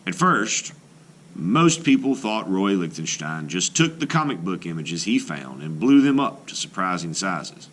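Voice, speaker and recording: A man reading a children's book aloud as an art teacher, in an expressive storytelling style with deliberate pauses. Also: low voice. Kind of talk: scripted narration, children's read-aloud.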